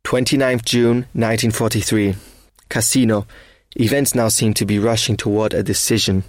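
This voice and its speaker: Wartime audio drama: man to play German officer diarist, dry and matter-of-fact, fairly deep, very dry acoustic. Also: fairly even intonation, normal speed, no room sound, natural voice. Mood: sober, matter-of-fact. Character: weary, sceptical German army officer writing a war diary. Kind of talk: read-aloud wartime diary, measured dramatic narration.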